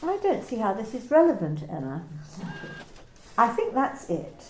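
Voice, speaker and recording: An actress playing a smooth, coolly polite corporate manager who talks in bland, bureaucratic phrases. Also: female voice, on the high side, wildly swooping pitch, unhurried, reverberant, a little hiss or hum. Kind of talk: amateur radio play reading.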